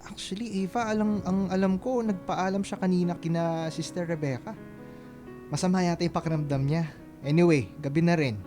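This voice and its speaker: A man playing a kind, playful young suitor in a Filipino radio drama, with a coaxing, expressive delivery. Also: high voice, moderate pace, music in the background, natural voice. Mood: caring, coaxing. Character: Romel, kind, playful, caring young man courting a volunteer.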